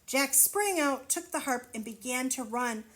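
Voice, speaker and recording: A woman reading a storybook aloud to children, expressively, pausing to wonder aloud and explain things simply. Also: sits high, lively intonation, fairly bright tone. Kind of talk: children's read-aloud with asides to the listener.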